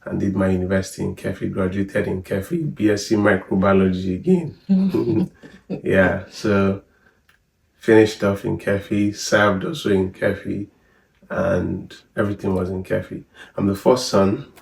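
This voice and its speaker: A man in his thirties with a Nigerian accent, chatting in a relaxed, rambling way on a family vlog. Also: deep voice, measured pace, vocal fry. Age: 30s. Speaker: vlogger. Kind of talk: casual chat. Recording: echoey room from far off.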